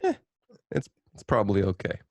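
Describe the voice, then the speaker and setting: A man's voice: fairly low pitch, huge pitch swings, a little fast, no echo. A man co-hosting a podcast in casual chat, hesitant and rambling, repeating himself and swearing casually. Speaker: podcast co-host.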